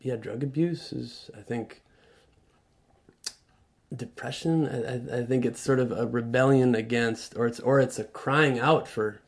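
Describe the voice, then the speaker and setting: A man speaking as a guest on a radio show, earnest and reflective, often restarting his sentences. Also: medium pitch. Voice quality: loud voice. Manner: earnest, halting with many restarts. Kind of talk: reflective conversational talk. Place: radio studio.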